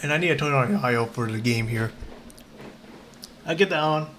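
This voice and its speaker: Rambling, halting man streaming a game. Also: medium pitch, fairly bright tone, slight background noise. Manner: rambling, halting, repeats himself. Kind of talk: casual livestream chat.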